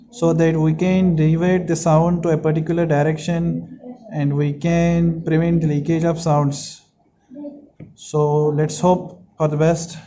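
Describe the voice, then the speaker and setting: Higher-pitched man narrating a DIY build. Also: dark tone, a little hiss or hum. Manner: explanatory, thinks aloud while working. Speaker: host of a DIY electronics build video. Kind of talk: casual hands-on tutorial narration.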